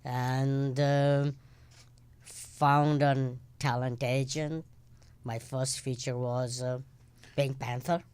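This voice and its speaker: An older man from Kenya, a guest actor in a casual interview, telling stories with dry, deadpan jokes and short playful answers. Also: mid-range voice, drawn-out words, smooth, no room sound.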